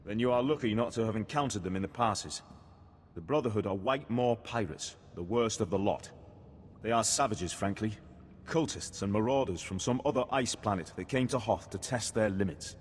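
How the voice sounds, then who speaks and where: A disdainful, formal captain played by a male actor speaks in a low voice. The video game dialogue echoes as if in a hall, with noisy ambience behind. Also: normal speed, natural voice. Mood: grim, disdainful.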